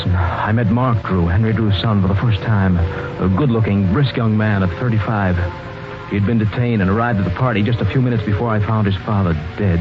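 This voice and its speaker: Mystery narrator, played by a man: lowish, measured storytelling, music behind, vintage radio sound. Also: close to an everyday voice. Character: measured first-person male mystery narrator. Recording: dull 1940s radio recording with music.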